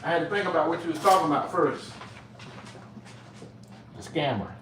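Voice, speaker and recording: A man's voice: a little high, moderate pace, fairly bright tone. A middle-aged man chatting casually as he cooks in his home kitchen, talking to viewers and his pets while he works. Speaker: home cook vlogger. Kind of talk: casual cooking vlog chat. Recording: echoey room, distant mic, background noise.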